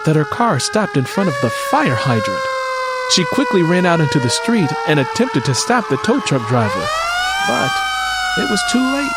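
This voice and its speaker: A man's voice: on the high side, very noisy. A man narrating scripted fiction as a storyteller.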